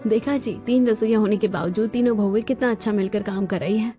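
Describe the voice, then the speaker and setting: A woman voicing a satisfied middle-aged mother-in-law, a hopeful matriarch in a cartoon family drama, speaking in a measured way. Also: medium pitch, steady tone, highs cut off, close to an everyday voice. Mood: pleased. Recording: band-limited audio with music behind.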